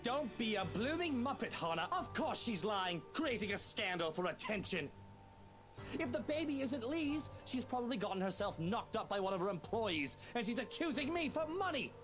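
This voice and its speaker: An actor playing an arrogant, foul-mouthed, hot-tempered husband in a visual novel, sneering and belligerent. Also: male voice, high-pitched, big pitch swings, moderate pace, a bit bright. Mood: scornful rage. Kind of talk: dramatic visual novel voice acting. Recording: game music behind the voice. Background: game music.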